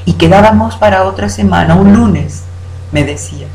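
A woman declaiming a poem in an expressive, deliberate manner with dramatic pauses. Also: medium pitch. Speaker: poetry reciter. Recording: roomy echo with background hum.

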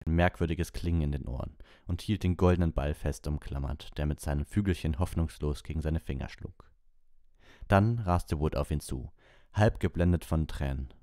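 Male audiobook streamer, deep and dark-toned, close dry mic. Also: dry recording. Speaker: audiobook reader and livestream host. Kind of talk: story narration and casual livestream chat.

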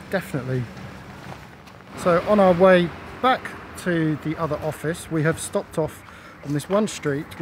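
A middle-aged man chatting casually to camera as he vlogs on location. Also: high-pitched. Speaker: vlogger. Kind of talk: casual vlog narration to camera. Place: on location: streets, car, offices. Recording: clear ambient noise, traffic and rooms.

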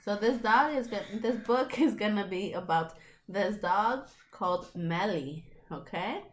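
A woman's voice: mid-range voice, animated, a little slow, echoey room. A young woman speaking as a teacher, guiding a children's read-along with simple, encouraging prompts. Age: young adult.

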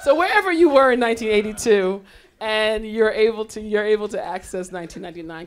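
Panel moderator, woman, 40s, from Trinidad, lecture hall mic, talkative and lively storyteller. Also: medium pitch. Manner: chatty, storytelling, animated laughter. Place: lecture hall on a small stage.

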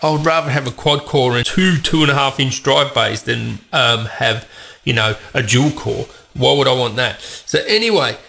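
A man's voice: mid-range voice, normal speed, creaky, sharp tone. A man with a cold and a congested voice, hosting a tech channel with chatty, opinionated commentary and rambling asides. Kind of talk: casual tech news commentary.

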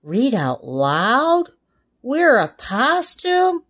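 A woman's voice: on the high side, huge pitch swings, extremely slow, a bit bright, pitched above the performer's own voice, full character voice. A woman voicing an anxious but determined young schoolgirl in a storybook, with emphatic, childlike delivery. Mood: alarmed. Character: anxious, determined young girl, a first grader.

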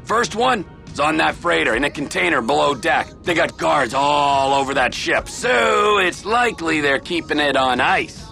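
Gravelly, volatile criminal schemer. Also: male voice, on the high side, expressive, moderate pace, few pauses, faint music behind, full character voice. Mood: businesslike scheming. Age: middle-aged. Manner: erratic, swinging from mock-gentle to barking. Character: volatile, domineering middle-aged male criminal schemer, crude and mocking. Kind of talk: video game cutscene acting.

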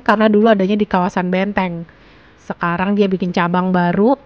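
A woman's voice: mid-range voice, no echo. Woman narrating a tour, dry voice-over. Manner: unhurried guided-tour narration. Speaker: travel vlogger. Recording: dry, slightly dull sound.

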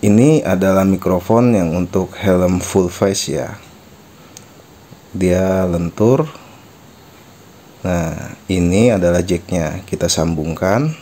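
A man's voice: low voice, takes it slowly, pauses often, dark tone. A man narrating a step-by-step product tutorial in an explanatory, instructional manner. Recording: faint background noise.

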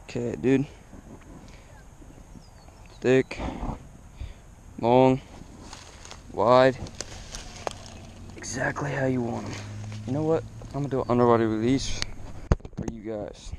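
A man chatting casually to viewers as a fishing vlogger, with an excitable, exclaiming, slangy way of talking, recorded outdoors on a body-worn action camera. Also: mid-range voice, slow, pauses often. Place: outdoors on a grassy reservoir bank. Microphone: body-worn action camera mic. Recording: outdoor action camera with faint background noise.

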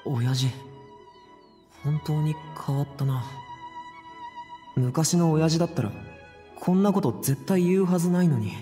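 An actor playing a brash but good-hearted young son, talking casually and hesitantly in a Japanese video game. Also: male voice, a little high, measured pace, pauses often, warm tone, faint music behind, lightly acted. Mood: awkward, sincere. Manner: casual, rough-edged, hesitant.

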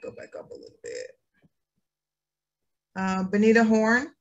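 A woman's voice: fairly high pitch, wildly swooping pitch, a little slow, lo-fi sound. A woman facilitating an online workshop over a video call, affirming speakers and reading comments from the chat. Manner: affirming, reads from chat, hums while scrolling.

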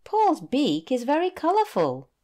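A British woman voicing a small, earnest male puffin in a picture book, slow and emphatic. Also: sits high, huge pitch swings, dark tone, pitched above the performer's own voice, exaggerated voice. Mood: insistent, forlorn.

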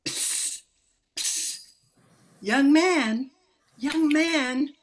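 Woman playing a slow, high-voiced meddling old aunt. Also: a little hiss or hum. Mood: earnest, pleading. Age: older. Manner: earnest, moralizing. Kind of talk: amateur old-time radio play acting. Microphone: computer on video call.

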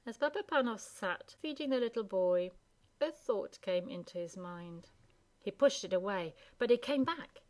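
A woman narrating a children's story as a scripted, expressive reading. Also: medium pitch, moderate pace. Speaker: storybook reader.

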